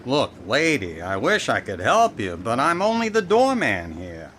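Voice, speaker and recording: A man's voice: mid-range voice, huge pitch swings, unhurried, a bit bright, slight background noise. A man playing a brusque, put-upon store doorman in an old-time radio drama, plain-spoken and weary. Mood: exasperated.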